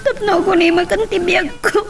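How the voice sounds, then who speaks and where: A woman acts out an exhausted young daughter nursing heartbreak in a radio-style melodrama. Her voice is extremely high and sounds drained of hope. Soft music plays behind her. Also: steady tone, normal speed. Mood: hopeless. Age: young adult. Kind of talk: melodramatic Ilocano audio drama acting.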